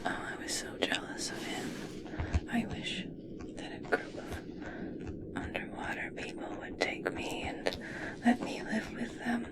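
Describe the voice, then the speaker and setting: A woman playing an obsessed, eccentric scientist in an ASMR roleplay, speaking intimately in a breathy whisper. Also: on the high side, wildly swooping pitch. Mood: wistful. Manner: slow, intimate, wistful storytelling. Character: obsessed, eccentric female human scientist fascinated by merpeople.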